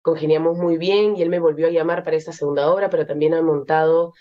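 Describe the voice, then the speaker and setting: A young Peruvian woman with a Peruvian Spanish accent, an actress being interviewed, speaking thoughtfully with many pauses and fillers. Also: low voice, steady tone, highs cut off. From Peru. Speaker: actress guest. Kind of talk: interview conversation.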